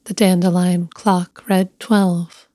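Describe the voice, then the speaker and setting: A woman reading a scripted literary story in a measured, hushed, deliberate way. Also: lowish voice, flat delivery, no room sound. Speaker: story narrator.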